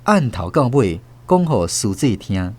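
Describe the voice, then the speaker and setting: A man's voice: mid-range voice, sing-song, measured pace, mellow. A man with a Taiwanese Hokkien accent narrating a radio drama as a storyteller. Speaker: host and narrator.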